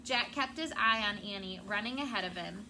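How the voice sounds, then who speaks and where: A woman's voice: medium pitch, a little fast. A woman schoolteacher reading a storybook aloud to children. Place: classroom. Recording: clear background noise.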